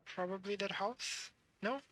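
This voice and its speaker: A man's voice: high voice, unhurried, noisy background. A man giving casual gameplay commentary as a streamer. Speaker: game streamer.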